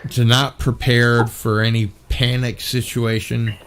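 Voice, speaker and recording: A tired man hosting a casual online panel chat, talking in a halting way with many fillers. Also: mid-range voice, level tone, slight background noise. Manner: halting, full of fillers and restarts. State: tired from little sleep. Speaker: host.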